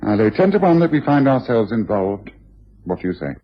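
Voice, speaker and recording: An actor playing a keen, urbane, wry English gentleman detective in a radio drama, with a British accent. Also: lowish voice, sing-song, a little fast. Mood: wry, intrigued. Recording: muffled, hazy old radio drama recording.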